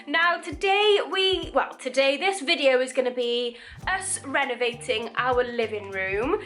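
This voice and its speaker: A woman vlogging casually about renovating her living room, chatty and rambling, her voice croaky from dust. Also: sits high, lively intonation, measured pace, a bit bright, faint music behind. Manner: chatty, rambling, laughs often. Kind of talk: casual chatty vlog to camera.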